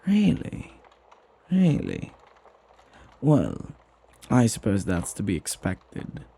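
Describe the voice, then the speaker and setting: Man acting a husky, flirty butler. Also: lowish voice, warm tone, full character voice. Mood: teasing, amused. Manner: formal, genteel phrasing with teasing familiarity and sighs. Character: flirty, gossipy, devoted butler, jealously protective of master. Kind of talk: intimate ASMR roleplay acting.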